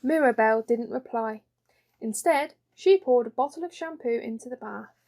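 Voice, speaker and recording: A woman's voice: fairly high pitch, moderate pace, a little mellow. A woman reading a children's story as an audiobook narrator.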